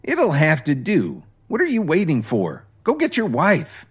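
Bossy older mother-in-law, scoffing and sharp, pitched way up high, a man doing her. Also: wildly swooping pitch, measured pace, breathy edge, heavily acted. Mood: scornful.

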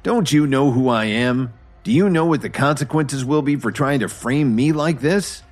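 A man's voice: mid-range voice, normal speed, voice pushed up, close to an everyday voice. A man voicing an arrogant young heir screaming in denial. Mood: hysterical. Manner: screaming, defensive.

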